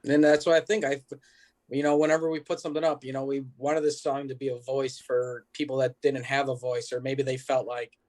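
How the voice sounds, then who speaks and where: A man's voice: medium pitch, level tone, brisk, a little mellow. A man, a musician guest, chatting in an informal, rambling way. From United States. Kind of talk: casual chat.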